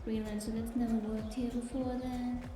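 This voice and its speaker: A woman's voice: fairly high pitch, level tone, moderate pace, natural voice. A woman playing a playful, coy, curious market vendor who teases and flirts in a cyberpunk audio drama. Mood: curious, teasing. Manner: coy, teasing, lilting. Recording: echoey, distant mic, music behind.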